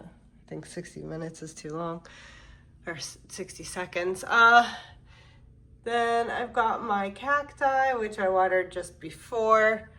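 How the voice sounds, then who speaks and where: A woman hosting a plant channel, congested from a cold, chatting casually as she walks through her home. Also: medium pitch. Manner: relaxed, chatty, walking through rooms with fillers. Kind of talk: casual home-tour vlog. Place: home, moving between rooms.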